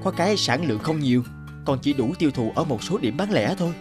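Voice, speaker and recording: A man's voice: on the high side, lively intonation, fast talker, lightly acted. A man playing an earnest, determined young farmer son in a cartoon, explaining things in earnest. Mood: discouraged, explaining. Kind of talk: animated cartoon dialogue. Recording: background music under speech.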